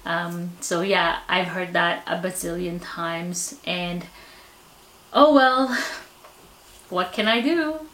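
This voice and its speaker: A woman's voice: on the low side, slow pace, long pauses, a bit bright, a little hiss or hum. A woman from the Philippines with a Filipino accent, talking candidly and reflectively in a personal vlog, a little self-deprecating. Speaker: vlogger. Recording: echoey room.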